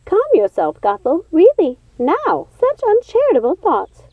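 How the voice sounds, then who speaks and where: A woman's voice: very high-pitched, huge pitch swings, measured pace, no room sound, narrow, tinny audio, voice pushed up, exaggerated voice. A woman voicing a fussy, coaxing witch sister from a storybook, centuries old yet youthful. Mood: chiding, uneasy.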